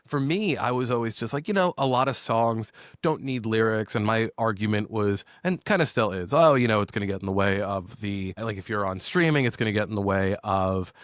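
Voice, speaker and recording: Deep-voiced man hosting a chat. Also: fairly quick, no room sound, clean studio sound. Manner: enthusiastic, talkative. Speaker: podcast host. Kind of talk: casual interview chat.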